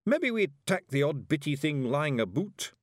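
A man's voice: fairly high pitch, very animated, normal speed, no room sound, clean studio sound, pitched above the performer's own voice. A man voicing a clumsy, tactless tiny fairy man, cheerful and blurting. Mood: sheepish.

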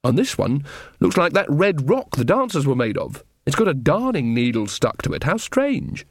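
A man's voice: fairly high pitch, very animated, moderate pace, breathy edge, dry recording, clean studio sound, exaggerated voice. A man voicing an earnest, uncertain younger witch woman in an audiobook, speaking hesitantly and with feeling. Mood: puzzled. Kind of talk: audiobook character dialogue.

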